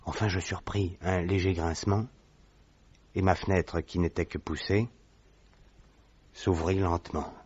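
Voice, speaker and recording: A nervous young convalescent, voiced by a man tells his story in an anxious, earnest way. His voice is very low and husky, heard through a dull vintage radio recording. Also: steady tone, unhurried, pauses often, a little mellow. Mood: tense recounting. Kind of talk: vintage radio drama acting.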